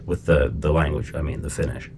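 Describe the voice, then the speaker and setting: A middle-aged man from Chicago explaining a language-learning method in a relaxed, conversational way, with plenty of fillers and check-ins. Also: extremely low pitch, a little fast, slight background noise. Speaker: language teacher. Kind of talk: casual explanatory lesson.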